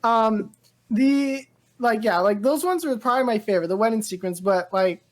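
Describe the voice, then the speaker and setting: A woman's voice: fairly high pitch, normal speed, a little mellow. A woman co-hosting a pop culture podcast, chatting casually about movies in a rambling way, often repeating and interrupting herself. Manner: rambling, frequent self-interruptions and repeated words. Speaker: podcast co-host.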